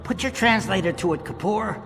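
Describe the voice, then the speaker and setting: A man's voice: high-pitched, fairly quick, a bit bright. A man playing a bossy, theatrical, scheming tomb raider in a comic radio play, easily exasperated and given to lecturing. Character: bossy, excitable, scheming male tomb-raiding treasure hunter. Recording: long cavernous reverb, music behind.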